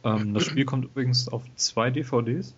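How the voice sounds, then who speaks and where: A man co-hosting a casual gaming podcast, talking in a relaxed, hesitant way with many fillers. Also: medium pitch, moderate pace, slightly rough. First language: German. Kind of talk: casual game review chat. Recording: dull lo-fi home recording.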